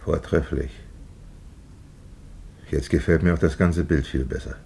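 A man's voice: rumbling low, steady tone, unhurried, gravelly, dark tone, husky, heavily acted. A man acting in a German radio play as a stern, solemn older village father, grave and terse in his commands. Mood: grave, commanding.

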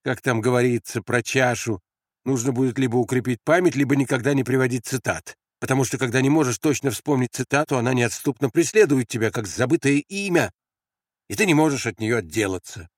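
A man's voice: medium pitch, a little fast, no echo, studio quality. A man reading literary prose as a scripted audiobook narration.

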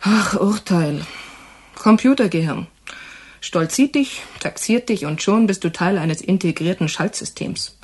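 An actress playing a sharp-tongued, teasing woman in a radio crime drama, with a mocking, blunt way of talking. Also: fairly low pitch, expressive, unhurried, breathy edge.

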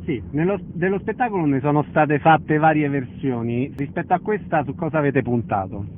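A man's voice: fairly high pitch, moderate pace. A man interviewing a guest in a radio style, asking questions and wrapping up. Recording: narrow phone-like sound, faint noise.

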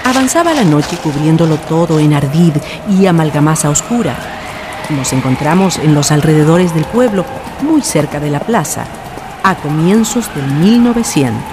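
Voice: medium pitch, lively intonation, normal speed, background noise, natural voice. A narrator solemnly delivering a literary introduction to a legend in a radio drama.

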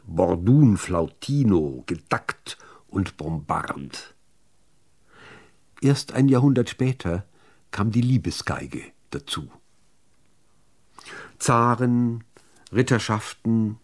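A man reading literary prose as a radio narrator, in a measured, deliberate delivery with dramatic pauses. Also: on the low side, darkish tone.

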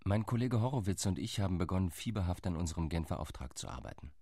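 A man playing an earnest, deep-voiced lobbyist. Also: animated, fast, mellow, slightly rough, no echo, clean studio sound. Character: eager male envoy lobbying diplomats. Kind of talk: radio play monologue.

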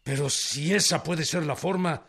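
An actor playing a plainspoken, folksy Mexican peasant leader in his thirties, with a rural accent and husky voice, in a radio drama. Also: fairly high pitch, moderate pace, close to an everyday voice. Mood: respectful, willing. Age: 30s.

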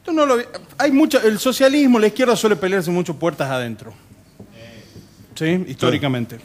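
Higher-pitched man bantering. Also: moderate pace. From Argentina. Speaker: radio show host. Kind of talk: humorous casual banter. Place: live radio broadcast from a bar.

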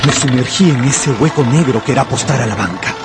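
An actor playing an anxious gambler who narrates his own ruin in a radio novel, brooding and intense, with dramatic pauses. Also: medium pitch, loud music behind the voice, natural voice. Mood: feverish, defiant.

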